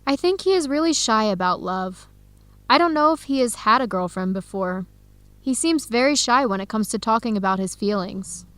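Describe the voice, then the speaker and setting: An actress playing an earnest young researcher who confides carefully and clearly in an English-learning radio drama. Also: female voice, a little high, lively intonation, moderate pace, fairly bright tone, no room sound. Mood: wistful.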